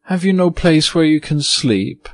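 Man voicing a gentle little girl, storybook reading, lifted soft voice, earnest, dry close sound. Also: animated, a little slow, dry recording. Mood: hushed. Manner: soft, earnest, raised pitch.

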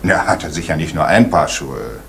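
A low-voiced police inspector who probes calmly and methodically. He questions people in a measured, deliberate way, over the steady hiss of an old radio play recording. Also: creaky, exaggerated voice. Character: calm, probing male police inspector. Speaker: police detective Fuchs. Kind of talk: 1980s East German radio crime drama.

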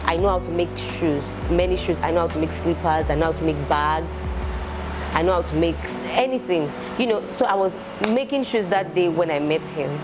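A young Nigerian woman, a shoemaker, chatting in an interview in a shoe showroom and telling her story with animated, repeating phrases. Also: lowish voice, a little fast, music in the background. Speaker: shoemaker and entrepreneur. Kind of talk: casual interview chat.

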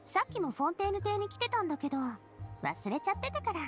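A woman playing an elegant, warm-hearted young lady boss in an anime game, sincere and expressive. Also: very high voice, fast, music in the background. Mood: cheerful. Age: young adult. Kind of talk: Japanese anime game voice acting.

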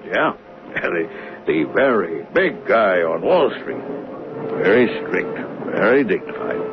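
An actor playing a talkative train conductor who recounts a murder in a folksy, musing way for a 1970s radio drama. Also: male voice, low-pitched, big pitch swings, slow, exaggerated voice. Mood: musing, recounting. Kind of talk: 1970s radio drama acting, first-person narration. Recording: noisy tinny narrow-band old radio recording.

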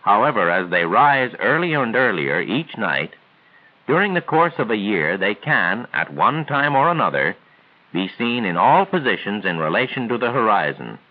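A man reads scripted 1940s training film narration in a measured, formal, instructional way. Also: lowish voice, very animated. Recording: tinny band-limited 1940s film soundtrack.

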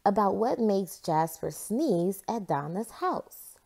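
Female storybook reader, expressive children's narration, unhurried, lively intonation, mid pitch. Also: creaky.

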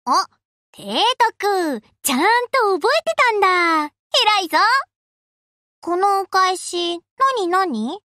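A woman voicing a cheerful, grateful young warship girl in expressive Japanese anime game lines. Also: extremely high pitch, slow, no echo, studio quality, exaggerated voice. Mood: teasing, excited. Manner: expressive, exclamatory.

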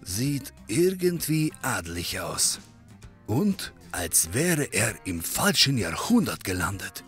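An actor playing a friendly European barkeeper in a comedy audio drama, easygoing and confiding in manner. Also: male voice, lowish voice, very animated, takes it slowly, a bit bright, faint music behind, exaggerated voice. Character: friendly European barkeeper, helpful male pub owner.

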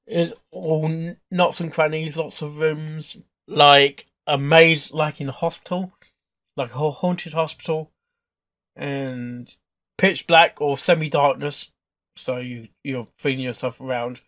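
A man hosting a reaction video with casual, informal commentary, halting and rambling as he thinks aloud. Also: a little high, fairly even intonation, fairly bright tone. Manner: halting, repeats and rambles through thoughts. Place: indoors at a computer.

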